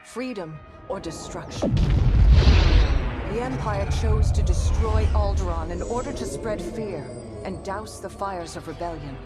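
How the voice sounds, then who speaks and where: A woman's voice: medium pitch, natural voice. An actress playing a resolute woman who leads a new republic, giving a solemn, rousing recruitment speech in a video game. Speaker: narrator. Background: orchestral score.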